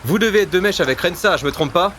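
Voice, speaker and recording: An actor playing a weary, sardonic secret agent in an audio drama, sarcastic and given to muttering. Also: male voice, high-pitched, expressive, quick pace, fairly bright tone, a little hiss or hum.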